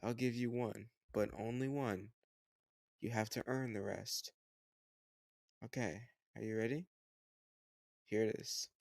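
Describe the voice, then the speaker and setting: Male actor as slightly older home tutor for romantic audio roleplay: lowish creaky voice, close dry sound, teasing, patient, encouraging. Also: fairly even intonation, unhurried, pauses often, a little mellow, no echo. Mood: playful, coaxing. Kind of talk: scripted romantic audio roleplay, addressed to the listener.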